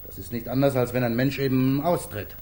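An actor playing an eccentric, playful painter in a 1950s German radio comedy, coaxing and amused, often repeating himself. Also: male voice, mid-range voice, fairly even intonation, fairly quick, warm tone, lightly acted. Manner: coaxing, stammering repetitions, amused. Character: eccentric male painter, playfully smitten with a monkey. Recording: noisy old 1950s radio recording.

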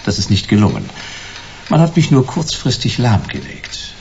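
A man's voice: on the low side, wildly swooping pitch, moderate pace, gravelly, a little mellow, muffled recording, a little hiss or hum, exaggerated voice. An actor playing a stubborn, hard-boiled private detective narrating his case laconically in a noir radio play. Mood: dry, insistent. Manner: laconic, dry, clipped sentences.

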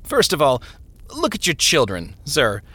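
A man's voice: fairly high pitch, wildly swooping pitch, a little slow, sharp tone, breathy edge, dry recording, pitched above the performer's own voice, heavily acted. A man voicing a smug, falsely genial parson in an audiobook story, talking down in a condescending way. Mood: genial, probing. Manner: condescending, hesitating mid-phrase.

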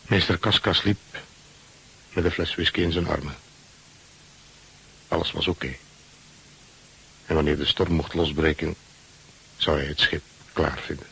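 Deep, clear-edged brooding ship's mate. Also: male voice, steady tone, measured pace, long pauses, a bit bright, full character voice, poor audio. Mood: brooding, reflective. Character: brooding ship's first mate, narrating his own story. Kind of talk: Dutch radio play acting and narration. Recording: hissy old radio recording.

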